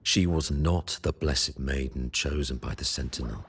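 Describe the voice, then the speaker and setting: An actor playing a solemn, pious religious leader in a fantasy video game, speaking in grave, formal, sanctimonious oratory. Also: male voice, extremely low pitch, unhurried, vocal fry, noisy background, exaggerated voice. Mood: grave confession. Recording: loud music behind the voice. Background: loud game music.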